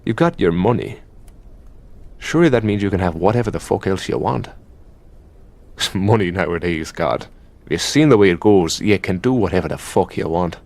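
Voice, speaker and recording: A man with an Irish accent playing an exasperated, mocking, possessive elder demon boyfriend in an ASMR roleplay, sardonic and sweary in a halting, conversational way. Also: sits low, expressive, gravelly, darkish tone. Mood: sardonic, nosy.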